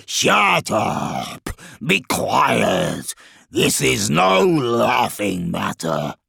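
A man voicing a huge, gloomy, irritable demon lord in a fantasy audiobook, with a gravelly, booming, grumbling delivery. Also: big pitch swings, extremely slow, fairly bright tone, no echo, clean studio sound, exaggerated voice. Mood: furious bellowing. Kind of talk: dramatic fantasy audiobook character voice.

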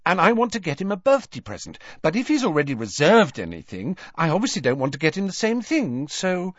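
A falsely bright, chatty teenage girl from an audiobook, acted by a man. The voice is pitched high and swoops about eagerly, on a slightly dull, dry recording. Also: huge pitch swings, fairly quick, no echo. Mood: flustered bluffing. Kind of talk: audiobook character voice.

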